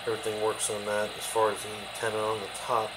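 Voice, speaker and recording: A man giving a casual, off-the-cuff show-and-tell as a hobby video host, speaking in halting, trailing phrases in a kitchen behind a handheld camera. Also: fairly low pitch, monotone. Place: home kitchen, indoors. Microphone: handheld camera, behind the lens. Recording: clearly noisy home camera recording.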